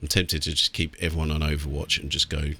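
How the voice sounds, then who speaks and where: A man's voice: very deep voice, monotone, fast, vocal fry, sharp tone, slight background noise. A British man giving casual gameplay commentary with blokey, sweary banter. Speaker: let's play host. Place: small warm room indoors. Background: game music.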